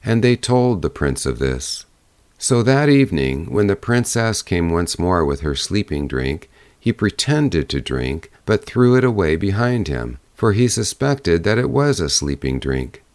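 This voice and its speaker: A man narrating a fairy tale as an audiobook, in a measured storytelling manner. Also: deep voice, very animated, darkish tone, no echo.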